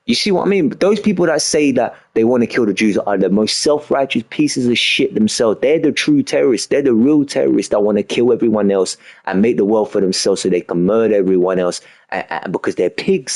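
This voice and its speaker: A man's voice: medium pitch, a little mellow. A young man streaming a game and talking in an emphatic, repetitive way, often filling with "you know what I mean". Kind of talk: casual rambling monologue while gaming.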